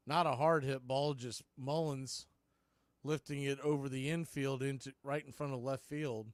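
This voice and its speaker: A man's voice: mid-range voice, steady tone, normal speed, no echo. A man hosting live baseball play-by-play, giving punchy calls and folksy, opinionated commentary. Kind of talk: live radio sports commentary.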